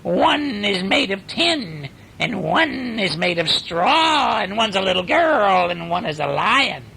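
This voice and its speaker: A man voicing a cruel, cackling, commanding wicked witch with a raspy, creaky voice for a storybook tale. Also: sits high, big pitch swings, pitched above the performer's own voice, full character voice, rough recording. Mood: scornful, cruel. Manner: shouted, drawn-out, cackling delivery. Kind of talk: storybook character voice.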